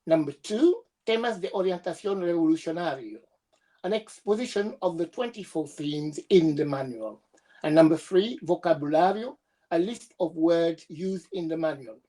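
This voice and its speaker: A young woman with a cold, presenting her doctoral research over a video call in a steady, measured reading voice with small hesitations. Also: on the low side. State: has a cold. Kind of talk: reading a prepared academic presentation.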